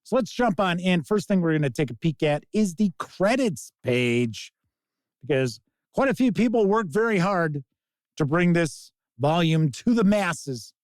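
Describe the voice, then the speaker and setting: A man presenting a casual tabletop game review as host, speaking in deliberate, emphatic phrases. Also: sits high, lively intonation, no echo. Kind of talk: casual scripted-outline product review to camera.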